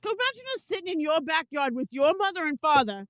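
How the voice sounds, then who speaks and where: A woman with a Brooklyn accent talks casually on an internet radio show, her voice sitting a little high. She restarts sentences and leans on fillers as she chats. The sound is dry and close. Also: expressive, quick pace, fairly bright tone, dry recording. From Brooklyn, New York. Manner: chatty, with frequent restarts and fillers. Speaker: co-host.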